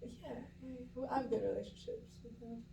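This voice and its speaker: A mellow-voiced young woman talks casually and candidly, thinking aloud in slangy speech. She is picked up from a distance in an echoey room, with a faint hum behind her. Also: fairly high pitch, steady tone, a little fast, creaky. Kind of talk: casual chat.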